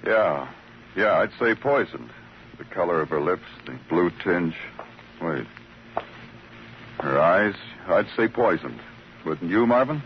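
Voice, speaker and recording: A man's voice: low voice, lively intonation, takes it slowly, pauses often, a little hiss or hum. An actor playing a world-weary police detective in an old radio noir drama, terse in dialogue and brooding when he narrates. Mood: terse, businesslike. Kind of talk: 1940s-50s radio noir narration and acting. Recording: dull old radio recording, music behind.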